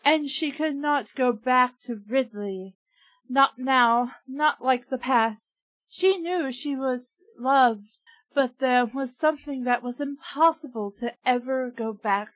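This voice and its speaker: A high-pitched woman reads bad fan fiction aloud at an unhurried pace, switching from deadpan narration to sarcastic asides. The sound is dry and close, and a little dull and lo-fi. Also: no room sound. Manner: deadpan reading broken by sarcastic asides and giggles. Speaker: reader and podcast host. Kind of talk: comic dramatic reading of fan fiction.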